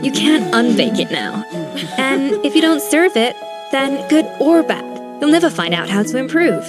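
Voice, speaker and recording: A poised, confident master chef. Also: female voice, high-pitched, normal speed. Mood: encouraging. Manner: calm, poised, gently instructive. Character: composed grown-up female master chef, confident mentor. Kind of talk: video game voice acting. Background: soft game music.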